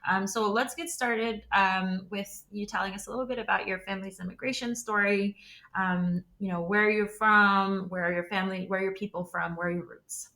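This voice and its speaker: A young woman hosts an interview podcast in a bright, mid-pitched voice. She asks her questions in an upbeat, casual way and slips easily between English and Spanish. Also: fairly even intonation, normal speed. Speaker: podcast host.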